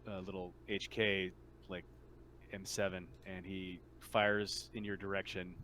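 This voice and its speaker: Male game master, lowish forward voice, casual halting narration, light noise behind. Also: level tone, pushed and bright. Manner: informal, halting, thinking aloud.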